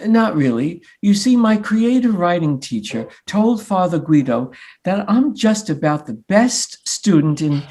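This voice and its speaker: A man playing an earnest, flustered sixteen-year-old playwright son in a staged reading, defensive and mock-modest, with a husky voice. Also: high voice, very animated, unhurried, darkish tone, full character voice. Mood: evasive. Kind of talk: live staged play reading.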